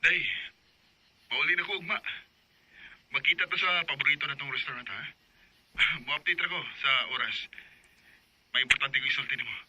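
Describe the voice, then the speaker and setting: A man's voice: medium pitch, normal speed, pauses often. A man with a Filipino accent playing an affectionate husband on a phone call in a radio drama. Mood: affectionate, upbeat. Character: affectionate husband calling home with news. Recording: dull, very noisy phone-like line.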